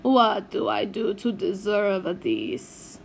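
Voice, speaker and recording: A woman's voice: mid-range voice, big pitch swings, slow pace, gravelly, slight background noise, exaggerated voice. A woman voicing a wheedling Italian-accented man who pleads in broken phrases. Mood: despairing.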